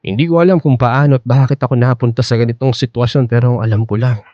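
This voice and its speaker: Guy playing older filipino ladies man in radio drama, medium pitch, playful n coaxing, sincere suitor. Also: fairly even intonation, fast, no echo, dull audio, close to an everyday voice. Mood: earnest, pleading. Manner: hesitant, coaxing, peppered with interjections.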